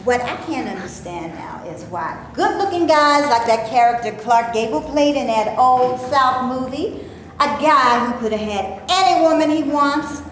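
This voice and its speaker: Echoey, high-pitched, feisty actress played by a woman. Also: lively intonation, normal speed, a bit bright. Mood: scornful. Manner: sassy, indignant, colloquial talk. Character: fed-up, feisty film actress stuck playing maids. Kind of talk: staged play reading. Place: echoey room before a live audience. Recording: echoey room with noise, live audience recording.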